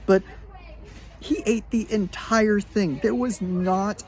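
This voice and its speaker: A middle-aged man telling a casual story to his students in a chatty, animated way, with lots of filler words. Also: high voice, slow. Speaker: high school sports medicine teacher.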